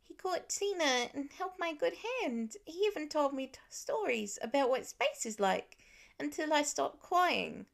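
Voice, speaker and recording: Woman voicing a high-pitched, stubborn little boy. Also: animated, a little slow, gravelly, full character voice. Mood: earnest, pouting. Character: stubborn, earnest five-year-old boy, tired and pouty. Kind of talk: audiobook character voice in fan fiction.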